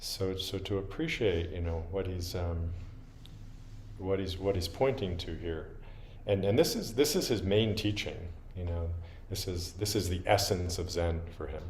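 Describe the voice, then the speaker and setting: A man giving an informal dharma talk as a Zen teacher, halting and reflective, with frequent pauses. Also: deep voice. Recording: roomy echo with faint background noise.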